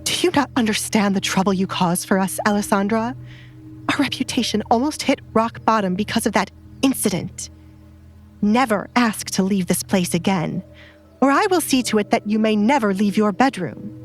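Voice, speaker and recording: A woman's voice: mid-range voice, normal speed, faint music behind, natural voice. A woman voicing a haughty, middle-aged noblewoman, a cruel stepmother who scolds with cutting contempt in an audio drama. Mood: cold contempt.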